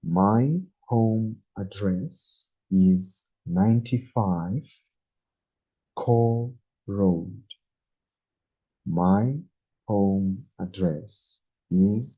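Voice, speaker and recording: A man in his twenties teaching a beginner English lesson, speaking very slowly and deliberately and repeating each number. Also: fairly low pitch, slightly rough. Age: 20s. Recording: dull lo-fi recording.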